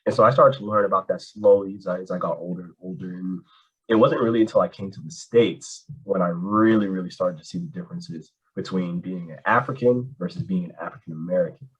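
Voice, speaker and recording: Husky low-voiced man. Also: fairly quick, poor audio. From Canada. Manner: casual, animated storytelling with slang. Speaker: guest. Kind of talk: candid conversation.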